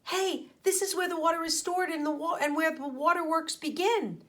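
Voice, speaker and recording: A woman voicing a bold, excitable schoolgirl in a children's storybook, brisk and emphatic. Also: extremely high pitch, pitched above the performer's own voice. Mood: excited. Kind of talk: read-aloud children's storybook.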